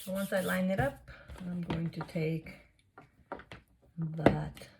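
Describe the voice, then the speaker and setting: A woman hosting a casual craft tutorial, chatting through each step and a little hoarse and sniffly. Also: lowish voice, fairly even intonation, slow, a little mellow, slight background noise. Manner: chatty, narrates each step, gushes over results. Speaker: craft video host.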